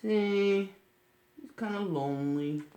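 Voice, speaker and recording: A woman's voice: mid-range voice, slow, dark tone. A woman reading a picture book aloud to children, breaking off to explain things simply. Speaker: children's storytime reader. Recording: roomy, echoing sound.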